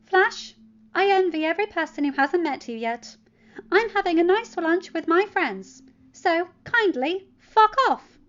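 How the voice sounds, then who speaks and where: An excitable teenage boy hero from an audiobook story, acted out by a woman. The voice is raised high, eager and emphatic, affectionate with a cheeky edge, on a slightly dull recording. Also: a little slow, full character voice. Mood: defiant.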